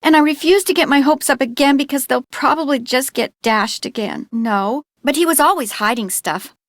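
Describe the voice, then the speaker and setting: A woman's voice: high-pitched, moderate pace, fairly bright tone, dry recording, clean studio sound. A woman playing an anxious, grieving teenage girl in an adventure game, speaking in an earnest, hesitant way. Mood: guarded, downcast. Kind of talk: scripted adventure game dialogue.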